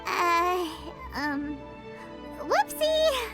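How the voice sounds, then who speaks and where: A woman's voice: sing-song, extremely slow, exaggerated voice. A woman voicing a small, childlike, cheeky and eager fairy companion in a video game. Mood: sheepish. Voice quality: squeaky, childlike. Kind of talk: video game character acting. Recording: game music behind the voice. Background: playful game music.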